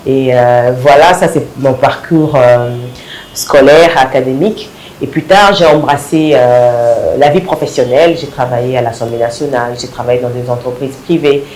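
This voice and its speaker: A woman, hoarse from a cold, answering interview questions haltingly with many fillers and restarts. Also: a bass voice, a little mellow, echoey room, a little hiss or hum, rough recording. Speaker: guest, party women's league coordinator.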